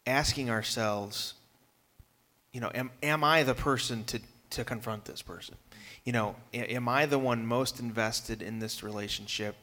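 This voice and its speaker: A man's voice: mid-range voice, pushed and bright. A man on a conference panel speaking earnestly and conversationally, often restarting his sentences. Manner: earnest, conversational, many fillers and repeated starts. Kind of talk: panel discussion answer.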